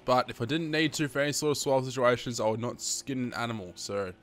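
An Australian man in his twenties hosts a gaming video with a middling-pitched voice. He chats casually to his viewers as he plays, swears freely and sounds slightly sniffly with hay fever. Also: quick pace, fairly bright tone, faint music behind. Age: 20s. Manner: sweary, chatty, addressing viewers as guys. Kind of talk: casual gameplay commentary.

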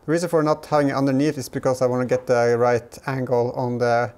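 A man's voice: mid-range voice, level tone, fast, a little mellow, smooth. A man in his thirties giving casual, hesitant hands-on commentary, thinking aloud as he works in a garage workshop. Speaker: car DIY vlogger. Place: garage workshop and inside the back of a car.

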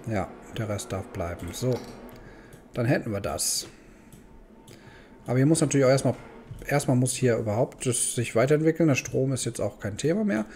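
A man's voice: medium pitch, expressive, normal speed, long pauses, background noise. A man giving casual let's play commentary, thinking aloud and muttering questions to himself. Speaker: gaming host.

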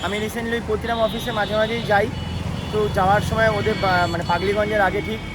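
A man's voice: high-pitched, level tone, fast talker. A man chatting casually as a family vlogger. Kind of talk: casual family vlog chat. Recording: loud music, traffic and vehicle noise.

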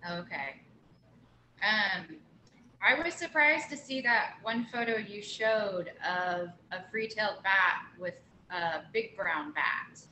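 A woman's voice: medium pitch, expressive, pushed and bright, roomy sound, a little hiss or hum, rough recording. A woman moderating an online talk, asking the guest speaker questions and giving brief acknowledgments on a video call. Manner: slow, inquisitive, brief acknowledgments.

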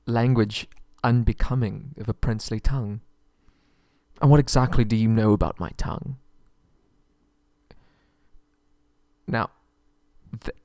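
A man's voice: medium pitch, dry recording, natural voice. A man playing a haughty but frightened young kidnapped prince in an ASMR roleplay audio drama, stammering and pleading, close on the mic. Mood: defensive, uneasy.

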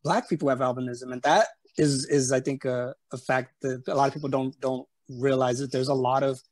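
A man's voice: medium pitch, steady tone, fairly quick, a little mellow. A man from San Antonio with a slight Spanish-tinged Texan accent, telling a story as an interview guest over a video call, rambling with lots of fillers and restarts. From San Antonio, Texas. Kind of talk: casual storytelling chat. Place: room at home. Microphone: webcam on a video call.

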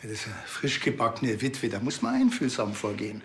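A man's voice: medium pitch, sing-song, fairly quick, slight background noise. An actor playing a blunt, dry and terse rural policeman with a Bavarian accent in a TV crime comedy. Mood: matter-of-fact.

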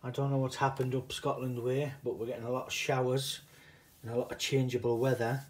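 A middle-aged man from the northeast of England speaks in a Teesside accent at a medium pitch. He hosts a casual home vlog without a script, wandering off the point and back again as he goes. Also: level tone, a little fast. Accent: northeast English, Teesside. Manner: rambling, digressive. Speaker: video host, hobbyist coin stacker.

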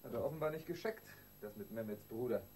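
An actor playing a plain, earnest junior police detective in a radio crime drama. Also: male voice, mid-range voice, expressive, quick pace, mellow, natural voice. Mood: matter-of-fact. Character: matter-of-fact junior male police detective. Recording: distant from mic, faint noise.

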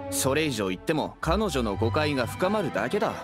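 A man's voice: medium pitch, big pitch swings, fairly quick, over loud music, lightly acted. An actor playing a protective, irritated young man in an anime game, blunt and brusque with his words. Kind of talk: anime game character acting.

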